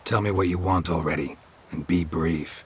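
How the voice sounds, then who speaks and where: Casting: weary, dry-witted monster slayer, deep rasping voice, curt and flat, fantasy game. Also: male voice, moderate pace. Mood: curt, businesslike. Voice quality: raspy, gravelly. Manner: terse, deadpan. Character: gruff, weary, dry-witted male monster hunter for hire.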